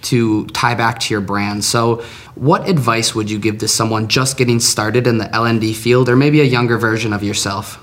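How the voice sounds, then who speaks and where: A man's voice: medium pitch, fairly even intonation, normal speed, a bit bright. A man hosting a business podcast, who introduces his guest and asks questions with friendly, affirming replies. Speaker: podcast host. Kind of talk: scripted podcast interview hosting.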